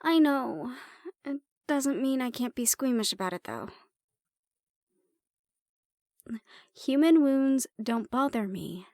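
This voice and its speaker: A woman playing an affectionate, teasing human partner of a dragon in an intimate roleplay audio drama, speaking softly and unhurriedly with pauses. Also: sits high, expressive, dry recording, clean studio sound. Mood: squeamish.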